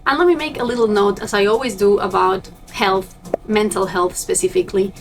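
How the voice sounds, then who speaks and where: A woman's voice: medium pitch, fairly even intonation, a little fast, faint music behind. A young woman from Argentina, a native Spanish speaker, chatting upbeat and direct to her viewers as a cruise ship crew member vlogging. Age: young adult. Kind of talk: casual vlog to camera.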